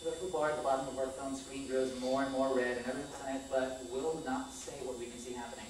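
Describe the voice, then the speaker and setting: A woman's voice: a bass voice, steady tone, fast. A woman in her thirties reading a scripted personal story aloud on a small theater stage. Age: 30s. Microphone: far camera microphone. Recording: echoey distant stage recording, faint noise.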